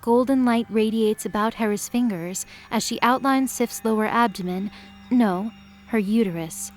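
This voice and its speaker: Woman narrating drama over soft music. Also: a little high, moderate pace. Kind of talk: scripted dramatic story narration.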